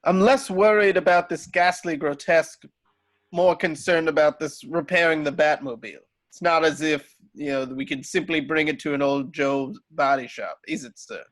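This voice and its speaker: A man playing a dry, loyal elderly butler in a script table read, with a formal, measured, wry manner. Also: on the high side, fairly bright tone, natural voice, poor audio. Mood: dry concern.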